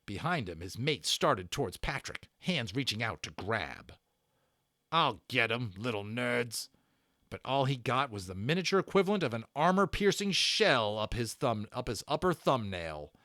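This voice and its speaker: A male narrator reads a storybook dramatically. His medium-pitched voice moves very freely up and down, building suspense theatrically. The recording is dry, with no room sound. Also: very animated, moderate pace, few pauses, fairly bright tone, no room sound.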